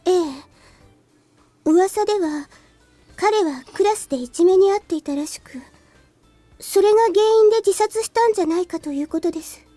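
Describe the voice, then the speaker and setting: Very high, soft-toned polite schoolgirl. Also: fast, pauses often, dry recording, faint music behind. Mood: polite, earnest. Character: polite teenage schoolgirl, sweet and teasing. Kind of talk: Japanese visual novel voice acting.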